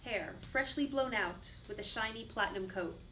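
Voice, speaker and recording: A woman's voice: fairly high pitch, moderate pace, sharp tone. A woman reading stage directions as scripted narration for a play. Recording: distant mic, clearly noisy room.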